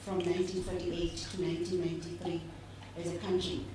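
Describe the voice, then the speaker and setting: A woman's voice: fairly low pitch, little pitch movement, brisk, noisy background. A woman giving an earnest, emphatic speech to a gathering. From South Africa. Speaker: speaker at a women's gathering. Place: function room at a breakfast event. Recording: echoey room, far from the camera.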